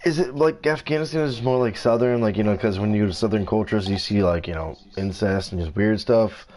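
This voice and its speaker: A man from the United States chats over voice chat during a team game. His voice sits a little low, and his talk is halting and casual, full of restarts. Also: darkish tone. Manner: halting, many restarts and fillers. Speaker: streamer playing an online team game. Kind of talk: casual voice chat while gaming.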